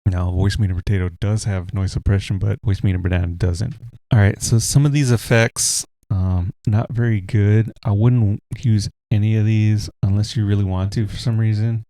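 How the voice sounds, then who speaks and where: Deep guy with a gravelly edge walking thru mic settings at home, laid back, dry close mic. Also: moderate pace, no room sound. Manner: relaxed, rambling explanations with fillers. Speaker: tech tutorial host. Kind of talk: casual screen-recorded tutorial. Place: home room with a heater running. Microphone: USB desk mic without a pop filter.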